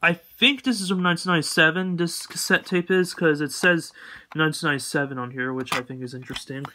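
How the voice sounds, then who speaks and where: A man giving a casual, unscripted collection update in a halting, repetitive, matter-of-fact way. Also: on the high side, steady tone, sharp tone, slight background noise. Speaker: collector showing purchases.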